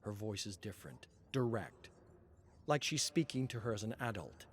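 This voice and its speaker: A man reading scripted narration as a storyteller, solemn and measured, with dramatic pauses. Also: on the low side, sing-song, breathy edge.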